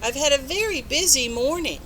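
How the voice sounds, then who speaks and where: A woman's voice: high-pitched, animated, moderate pace, fairly bright tone, a little hiss or hum, pitched above the performer's own voice, poor audio. A woman voicing a puzzled, sleepy young male dog in a children's storybook, hesitant and stammering as he protests. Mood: reluctant. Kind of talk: children's storybook read-aloud.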